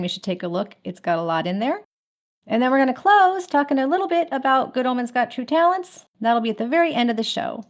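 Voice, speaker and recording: A woman with a slightly high-pitched voice hosts an online gathering. She talks casually and informally, as if chatting to a group of friends while moving the program along. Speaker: host of an online fan party. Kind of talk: casual event hosting.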